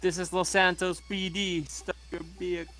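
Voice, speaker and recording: A man's voice: high voice, level tone, moderate pace, sharp tone, soft background music. A man playing a tabletop role-playing game in character, speaking bluntly and swearing freely. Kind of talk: in-character casual game chat.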